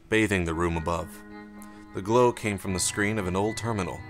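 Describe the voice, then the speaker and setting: A man reading first-person fiction as an audiobook narrator, in a measured, dramatic way. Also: low voice, fairly bright tone.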